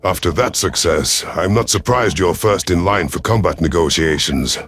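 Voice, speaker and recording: Man voicing a deep, imperious alien predator commander. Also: moderate pace, a bit bright, soft background music. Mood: sugary, sly. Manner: formal, imperious. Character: male alien predator chief hunter, commanding, calculating. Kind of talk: first-person sci-fi audio story narration.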